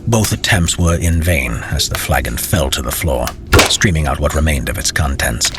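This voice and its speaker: Very deep-voiced male fantasy narrator. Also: very noisy. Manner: measured, dramatic storytelling. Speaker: storyteller, narrator. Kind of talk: scripted fantasy story narration.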